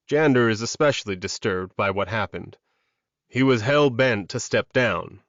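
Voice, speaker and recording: Man playing a calm protective werewolf alpha. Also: lowish voice, clean tone, no echo, muffled recording, natural voice.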